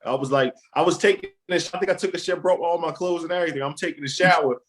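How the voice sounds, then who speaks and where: A man hosting a podcast, telling stories in a relaxed, rambling way. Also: on the high side, racing, slight background noise. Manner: relaxed storytelling with fillers. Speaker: podcast host. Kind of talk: casual podcast chat.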